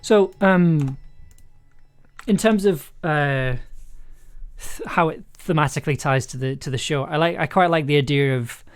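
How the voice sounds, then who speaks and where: A man with a raspy, throaty voice, talking casually and rambling through a livestream while producing an audio drama. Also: fairly high pitch, unhurried. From United Kingdom. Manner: rambling, many restarts and fillers. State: raspy, throaty voice after illness. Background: music.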